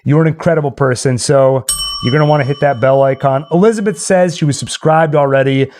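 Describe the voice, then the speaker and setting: A man's voice: mid-range voice, fairly quick, darkish tone, a little hiss or hum. A man hosting a casual livestream, chatting in a jokey, rambling way. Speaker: livestream host. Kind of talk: casual livestream chat and sign-off. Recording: music playing behind the voice. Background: Halloween novelty songs.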